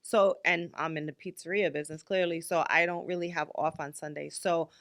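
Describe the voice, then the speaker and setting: A woman's voice: sits low, fairly even intonation, a little fast, fairly bright tone. A woman in her fifties co-hosting a podcast, talking candidly and bluntly, with lots of filler likes. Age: 50s. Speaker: podcast co-host. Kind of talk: casual chat.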